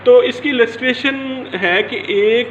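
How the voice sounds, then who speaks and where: A man's voice: very high voice, takes it slowly, fairly bright tone. A man from Pakistan with a Pakistani accent giving an explanatory law lecture, restating his points in a didactic way and mixing in English legal terms. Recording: roomy echo, dull lo-fi sound, faint noise.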